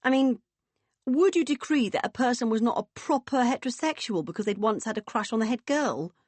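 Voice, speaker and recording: A woman voicing a hearty, jolly middle-aged woman in an audiobook, with a booming, brisk manner. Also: expressive, a little mellow, no room sound. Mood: indignant, amused. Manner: booming, brisk, jolly posh turns of phrase.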